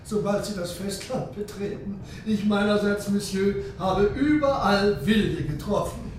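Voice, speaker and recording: Radio drama captain: cool, imperious, sardonic, heard distantly through heavy reverberation. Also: male voice, high voice, a little slow, a little hiss or hum. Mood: cool disdain. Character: aloof, imperious, sardonic male submarine captain. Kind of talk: German radio drama acting. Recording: very echoey, distant, organ music behind.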